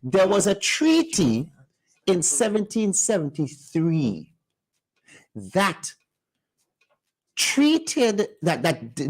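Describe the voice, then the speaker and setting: A man's voice: on the high side, rough recording. Insistent man arguing on radio. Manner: insistent, interrupting, lecturing. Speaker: radio co-host. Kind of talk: heated radio discussion. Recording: radio studio.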